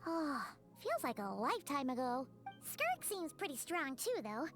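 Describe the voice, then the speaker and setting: A woman voicing a small, excitable, chirpy fairy girl companion in a video game, with a squeaky childlike voice. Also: unhurried, heavily acted. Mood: curious. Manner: exclamatory, refers to herself in third person. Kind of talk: video game character acting. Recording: loud music behind the voice. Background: game music.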